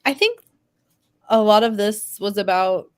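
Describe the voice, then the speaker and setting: A woman with a mid-pitched voice speaks on a casual fan panel. She is halting and unsure, searching for her answer as she talks. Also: clean tone.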